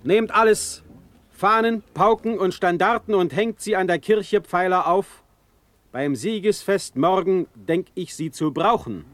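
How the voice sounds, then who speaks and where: An actor playing a stern, unbending Prussian Elector in a classical German radio drama, speaking formally and with authority. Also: male voice, sits high, unhurried, a bit bright, no room sound. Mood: cool command.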